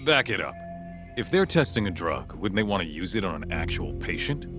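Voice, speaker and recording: A man's voice: low voice, sing-song, brisk. A man playing a hot-tempered, skeptical tough-guy sidekick in an English-language video game, blunt and brash, with an American accent. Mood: exasperated. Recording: music behind the voice.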